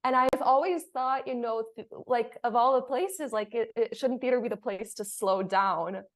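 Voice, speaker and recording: A woman's voice: fairly high pitch, normal speed. Woman reflecting aloud in a panel chat. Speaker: panelist, theatre artist. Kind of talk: casual panel discussion.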